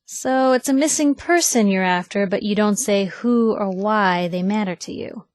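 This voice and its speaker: A woman's voice: medium pitch, moderate pace, darkish tone, no echo, studio quality, close to an everyday voice. An actress playing a spirited, nosy young woman reporter in a video game, talking in a wry, inquisitive, punchy and colloquial way. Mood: probing, coy. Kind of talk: video game companion dialogue.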